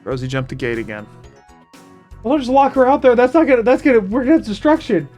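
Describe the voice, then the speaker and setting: A man's voice: extremely high pitch, sing-song, quick pace, a little mellow, faint music behind. A man with a Southern US accent, streaming and chatting casually in a rambling, self-interrupting way. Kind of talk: casual chat while gaming.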